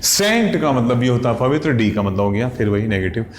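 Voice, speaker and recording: A man's voice: mid-range voice, big pitch swings. An English vocabulary teacher, spelling words out letter by letter and checking in with his students constantly, correcting himself as he goes. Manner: brisk and chatty with frequent self-corrections. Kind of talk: exam-prep vocabulary lesson. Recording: echoey room, faint ambient noise.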